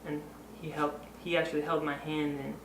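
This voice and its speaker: A man talking reflectively about himself, halting and pausing between short phrases. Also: fairly high pitch, flat delivery. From Oregon and Costa Rica. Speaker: artist and educator. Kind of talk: personal introduction, reflective talk. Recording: echoey, noisy room, distant mic.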